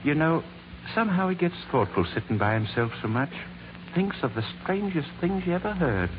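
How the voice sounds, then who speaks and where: An actor playing a gentle, tender father, mild-mannered, in 1940s radio drama style. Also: a little high, very animated, fairly quick. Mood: tender, wistful. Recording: muffled narrow-band radio recording, clear hum.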